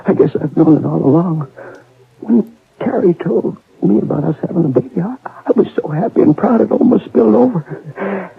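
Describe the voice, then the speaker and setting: An actor playing a dying young cowboy who stays stubbornly hopeful, in 1950s radio drama style, with a raspy, strained voice on a tinny old radio broadcast. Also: male voice, high-pitched, normal speed, no echo, full character voice. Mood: weak, stubborn.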